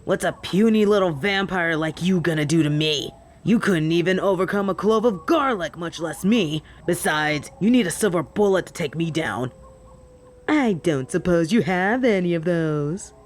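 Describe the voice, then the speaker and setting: A woman's voice: fairly low pitch, animated, normal speed, slight background noise, close to an everyday voice. A woman voicing a cocky, flirty female werewolf in a roleplay audio drama, possessive and teasing with a drawl. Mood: cocky, taunting.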